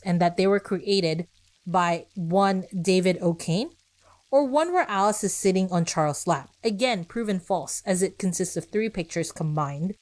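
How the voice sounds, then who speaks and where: A woman's voice: mid-range voice, a little mellow. A woman podcast host reading scripted narration with personal asides, speaking conversationally and directly to her listeners.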